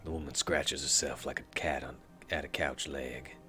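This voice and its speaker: Man with a very deep, dramatic reading voice. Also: steady tone, moderate pace, bright, forceful tone, faint music behind. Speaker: let's play narrator. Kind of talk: reading game text aloud.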